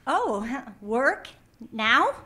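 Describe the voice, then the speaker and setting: A woman voicing a polite, eager young female college student who sounds bright and a little wary. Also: high-pitched, big pitch swings, drawn-out words, heavily acted.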